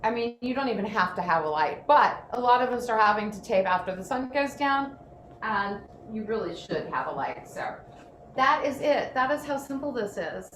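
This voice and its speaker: A woman's voice: fairly high pitch, animated, normal speed, a bit bright. A woman hosting a casual workshop, presenting in an informal, chatty and emphatic way from an indoor room. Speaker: host and photographer. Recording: noticeable background noise.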